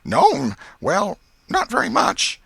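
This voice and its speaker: A man puts on a cheeky young boy for a storybook. The voice jumps up high and swings about, bright and pert, with folksy country phrasing and a mischievous edge. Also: huge pitch swings, takes it slowly, creaky, breathy edge, no room sound, exaggerated voice. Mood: wary, innocent. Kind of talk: audiobook character voice.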